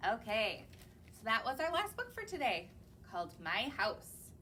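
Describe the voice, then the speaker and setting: Children's librarian reading to kids: bright high voice, sing-song, unhurried, keeps quizzing the little listeners. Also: female voice, very animated, slight background noise. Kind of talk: reading picture books aloud to young children. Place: public library.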